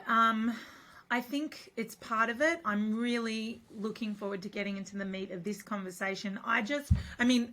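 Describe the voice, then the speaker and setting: Female interview host, husky and losing her voice, casual chatty delivery. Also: medium pitch. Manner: chatty, warm filler-heavy talk with many restarts. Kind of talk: casual conversation.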